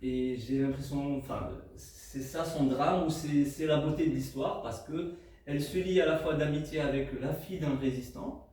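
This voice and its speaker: A man's voice: fairly high pitch, steady tone, moderate pace, a little mellow. A man whose first language is Arabic presents his book, speaking unscripted and hesitantly, with many fillers and restarts. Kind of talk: unscripted book talk to an audience. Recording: echoey room, mic at a distance, faint noise.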